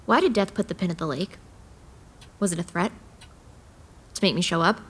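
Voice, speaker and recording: A woman's voice: fairly low pitch, normal speed, breathy edge. A woman playing a guarded, wry young woman who sees monsters in an audio drama, with an understated delivery. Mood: guarded, questioning. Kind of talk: audio drama acting with first-person narration.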